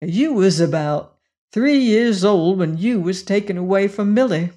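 A woman voicing a gentle, protective cowboy gunslinger with a rich voice and a folksy Western drawl in a storybook reading. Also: mid-range voice, lively intonation, a little slow. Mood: warm, earnest.

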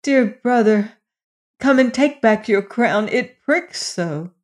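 A woman acts out a small fairy-tale prince in discomfort. The voice is raised in pitch, plaintive and pleading, with a slow, whining draw to the words. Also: expressive. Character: queen's little son, plaintive suffering young prince.

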